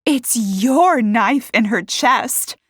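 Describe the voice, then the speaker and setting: A woman's voice: medium pitch, sing-song, slow pace, a bit bright, husky, no room sound, clean studio sound, full character voice. A woman voicing a hot-tempered, accusatory woman in an audiobook mystery, huffy and demanding. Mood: angry, demanding.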